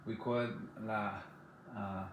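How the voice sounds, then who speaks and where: A man from the Dominican Republic with a Dominican accent, answering interview questions in halting casual speech full of "like" and "you know". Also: on the low side, little pitch movement, roomy sound, a little hiss or hum. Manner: halting, repeats words, many "like" and "you know".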